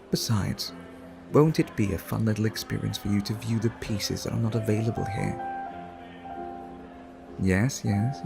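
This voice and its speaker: A man playing a suave, refined vampire art collector in an ASMR roleplay, speaking in a formal, courteous, flirtatious and measured way. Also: lowish voice, vocal fry.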